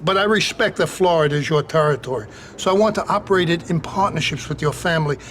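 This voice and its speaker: An actor playing a courteous, measured and wary Sicilian mafia don in a video game cutscene. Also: male voice, a little high, fairly bright tone, slight background noise, lightly acted.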